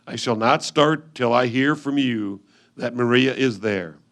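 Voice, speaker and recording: Earnest 1850s settler husband played by a man, mid-pitched, formal and measured, stage letter reading. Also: fairly bright tone, close to an everyday voice. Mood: earnest, businesslike. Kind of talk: reader's theatre letter reading.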